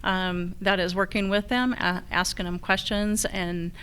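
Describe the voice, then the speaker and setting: A woman's voice: mid-range voice, level tone, a little slow, a bit bright, clean tone. A woman with an American accent giving answers as a candidate at a public forum. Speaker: county assessor candidate.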